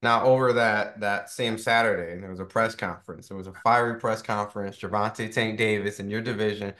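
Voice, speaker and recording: A man's voice: on the low side, steady tone, brisk, bright, forceful tone. A man interviewing a boxer in a casual way, full of fillers and frequent "right?" tags. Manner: chatty, filler-heavy, keeps asking "right?".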